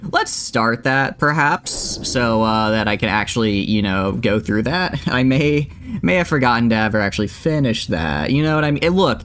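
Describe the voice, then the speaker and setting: A man's voice: on the low side, fairly bright tone, a little hiss or hum. A man chatting with viewers while streaming a game, rambling and joking at his own expense. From United States. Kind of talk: casual gameplay stream chat.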